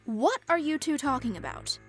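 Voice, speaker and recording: A woman's voice: sits high, very animated, normal speed. A woman voicing a timid, flustered young caretaker who stammers, in a fan dub of a game. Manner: hesitant and stammering. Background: faint music.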